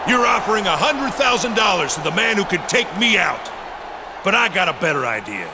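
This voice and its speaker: Confrontational video game wrestler, high lively voice, blunt, noisy backdrop. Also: male voice, a little fast. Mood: defiant. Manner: blunt, challenging. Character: confrontational, tough challenger wrestler. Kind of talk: video game cutscene acting. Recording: clear background noise.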